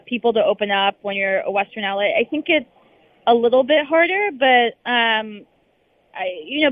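A woman's voice: mid-range voice, dry recording. A young woman, a journalist, answering interview questions in a hesitant, rambling way with lots of hedging. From United States. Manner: hesitant and rambling, full of hedges. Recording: tinny phone-line sound.

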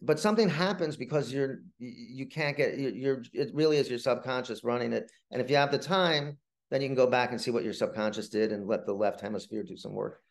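Male writer as interview guest, mid pitch, casual and anecdotal, stammering restarts. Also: brisk, creaky.

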